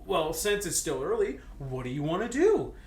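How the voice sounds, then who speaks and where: A man's voice: a little high, big pitch swings, moderate pace. A man reading fan fiction aloud comedically, theatrical in delivery, with joking asides and a readiness to break into laughter. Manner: theatrical, breaks into laughter, bursts into mock shouting. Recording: roomy echo with clear background noise.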